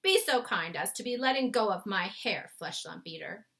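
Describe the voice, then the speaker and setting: A woman voicing a gentle, nervous, kindly male giant in a storybook, soft-spoken with quaint muddled grammar. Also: mid-range voice, expressive, moderate pace, creaky. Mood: polite, defiant. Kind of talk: storybook read-aloud character voice.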